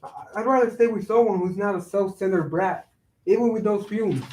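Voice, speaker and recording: Guy acting a bitter brother, high voice, heated and accusing, kinda far from mic. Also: fairly quick, darkish tone, natural voice. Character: hurt, resentful younger brother, passionate and accusing. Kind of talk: staged reading of a play. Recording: roomy echo, distant microphone.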